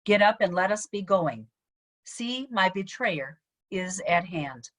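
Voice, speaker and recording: A middle-aged woman pastor giving a measured, solemn dramatic scripture reading over a video call. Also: medium pitch, expressive, a bit bright. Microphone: video call microphone.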